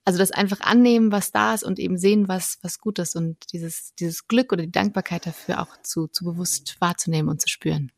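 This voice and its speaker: Woman guest w a bit of a cold, kinda low and gravelly, thinking out loud, lots of ums. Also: fairly even intonation, a little fast, few pauses, no echo, clean studio sound. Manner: reflective, thoughtful, many fillers. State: has a slight cold. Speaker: guest, memory champion and author. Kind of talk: relaxed conversational interview.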